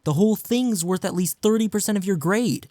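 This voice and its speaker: A man's voice: high voice, brisk, warm tone, no echo, natural voice. Man acting as young college best friend, close dry sound. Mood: exasperated, upset. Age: young adult. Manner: casual, earnest, playful. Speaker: audio roleplay voice actor. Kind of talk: intimate audio roleplay acting, one-sided conversation.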